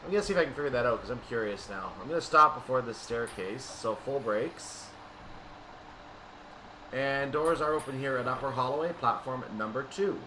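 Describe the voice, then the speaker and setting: A man with a mid-pitched voice narrates a game in a casual, explanatory way. He thinks aloud as he plays. A clear layer of ambient noise and rumble sits behind his voice. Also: expressive, normal speed. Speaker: gaming video creator narrating train simulator play. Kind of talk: casual gameplay commentary.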